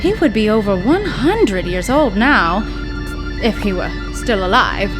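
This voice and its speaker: A woman's voice: mid-range voice, big pitch swings, a little slow. An actress playing a blunt, sarcastic young woman investigating her scientist father's lab, in old-time radio drama style. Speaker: scientist's daughter. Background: music.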